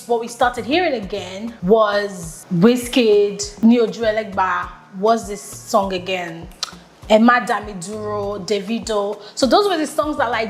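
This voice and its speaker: A woman's voice: a little high, fairly bright tone, roomy sound. Chatty enthusiastic woman in her thirties. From Nigeria. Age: 30s. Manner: enthusiastic, chatty, lots of "like" and "literally". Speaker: podcast host. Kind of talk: casual solo podcast monologue.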